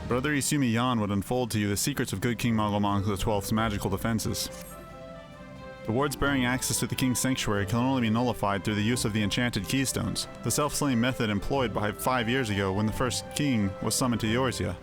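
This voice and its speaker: A man acting a solemn elder in a fantasy video game, speaking in measured, archaic and formal phrasing. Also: fairly low pitch, music in the background, close to an everyday voice. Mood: grave, earnest.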